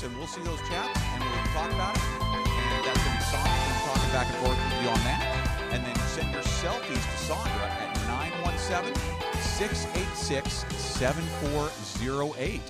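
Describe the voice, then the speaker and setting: A man's voice: medium pitch, animated, moderate pace. American emcee man, emphatic, over music. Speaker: event host and auction emcee. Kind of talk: upbeat event announcement to a remote audience. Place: school hall stage. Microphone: handheld microphone.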